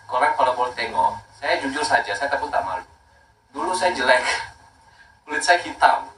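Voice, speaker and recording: A man's voice: a little high, fairly bright tone, echoey room, far from the mic, a little hiss or hum, rough recording. A man in his thirties from Makassar, a skincare brand owner talking casually and emphatically, repeating points and mixing in English words. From Makassar, Indonesia. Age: 30s. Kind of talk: casual promotional talk.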